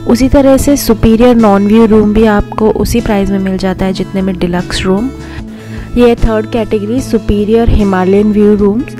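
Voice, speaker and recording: A woman's voice: medium pitch, steady tone, normal speed, music in the background. A young woman, a travel vlogger, giving a chatty hotel tour voice-over and switching between Hindi and English phrases.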